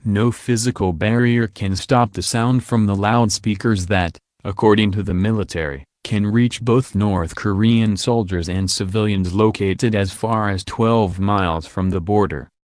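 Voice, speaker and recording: Low-pitched man reading scripted news, dry sound. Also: steady tone, a little slow, hardly any pauses, darkish tone, dry recording. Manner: formal, reads written copy verbatim. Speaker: news narrator.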